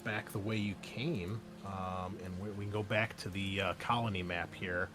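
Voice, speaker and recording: Halting man running a tabletop game. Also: deep voice, steady tone, a bit bright, faint music behind. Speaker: game master. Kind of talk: improvised tabletop game narration, casual.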